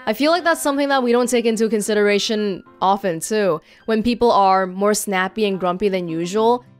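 A woman's voice: mid-range voice, clear, resonant tone. Woman chatting casually about a game, let's play style, bit of music behind her.

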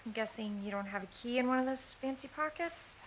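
A woman's voice: a little high, brisk, a little hiss or hum, close to an everyday voice. A woman with an American accent playing a plucky, determined young woman in a video game cutscene. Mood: warm, wry. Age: young adult. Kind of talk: cinematic game cutscene acting.

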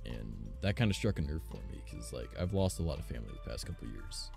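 Raspy low-voiced man chatting while gaming. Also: big pitch swings, fast talker, over music. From Canada. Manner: rambling, frequent "like" and "um". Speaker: streamer. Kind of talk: casual chat while gaming. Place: home recording studio.

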